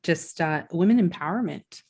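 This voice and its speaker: A woman's voice: on the low side, expressive, moderate pace, darkish tone, husky. A woman hosting a podcast, asking questions in an encouraging, loosely hesitant way. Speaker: podcast host. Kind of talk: casual interview conversation.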